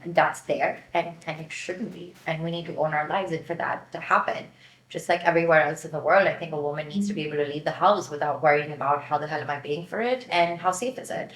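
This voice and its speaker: A woman's voice: deep voice, quick pace, a bit bright, echoey room, rough recording. A Pakistani woman in her thirties, a company founder speaking as a podcast guest, candid and direct, often adding "um" and "right?". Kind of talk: conversational podcast interview.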